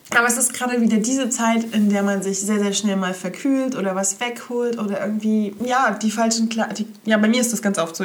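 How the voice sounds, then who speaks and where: A young woman hosting a casual solo podcast, stuffy-sounding from a cold, cheerful and chatty as she talks straight to the listener. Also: mid-range voice. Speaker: podcast host.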